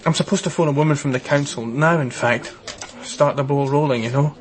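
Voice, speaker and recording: A man's voice: fairly high pitch, brisk, lightly acted. An actor playing a tired, worried young male detective in a radio crime drama, hesitant and downcast. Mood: worried, weary.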